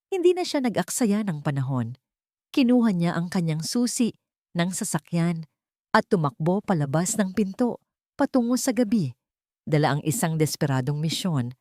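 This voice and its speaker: A woman's voice: lowish voice, dark tone, no echo, clean studio sound. A woman with a Filipino accent reading a dramatic love story as a scripted narration.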